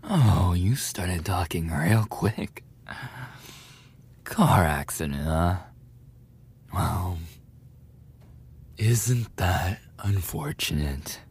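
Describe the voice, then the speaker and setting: A man acts out a sadistic teenage school bully in a roleplay audio drama. His voice is low and rough-edged, and it swings widely in pitch as he taunts. The delivery is unhurried, sneering and full of menace. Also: expressive, heavily acted. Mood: cruel mocking. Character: sadistic male teenage delinquent school bully, mocking and cruel.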